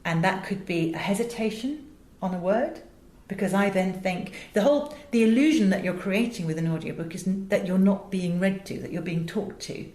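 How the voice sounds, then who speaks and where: A woman's voice: medium pitch, normal speed, few pauses, a little mellow. A British woman being interviewed, telling anecdotes and explaining her work in an articulate, emphatic way. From England. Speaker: interview guest, audio director and producer. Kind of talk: animated conversational storytelling in an interview. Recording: roomy, echoing interview sound.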